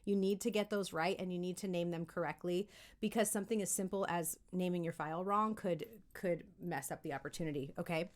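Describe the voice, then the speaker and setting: A woman giving an upbeat, conversational lesson as a voice acting coach, animated and encouraging, often checking in with listeners. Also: on the low side, brisk. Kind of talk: upbeat instructional talk to camera.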